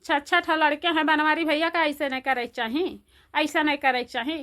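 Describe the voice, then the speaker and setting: A bright, high voice with an Awadhi accent: an older village mother-in-law acted by a woman in a comedy cartoon. She scolds hard and keeps hammering the same indignant questions. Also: steady tone, moderate pace. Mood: alarmed, indignant. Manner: scolding, repetitive rhetorical questions.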